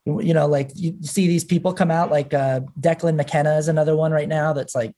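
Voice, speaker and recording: A man's voice: fairly high pitch, steady tone, brisk, mellow. Rambling man in his thirties. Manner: rambling, lots of "like" fillers. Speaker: musician guest. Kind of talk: casual podcast chat.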